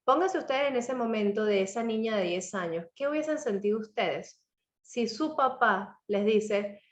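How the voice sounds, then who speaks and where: A woman with a slightly hoarse throat, telling a personal story to a live audience in a reflective, motivational way. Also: a little high, lively intonation, normal speed, echoey room. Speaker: author and speaker.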